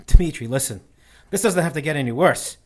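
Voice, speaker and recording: An actor playing a nervous young newspaper reporter in a 1940s pulp audio drama. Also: male voice, on the high side, animated, a little fast. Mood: scared bargaining. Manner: nervous, stammering. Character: frightened young male newspaper reporter, bluffing then pleading.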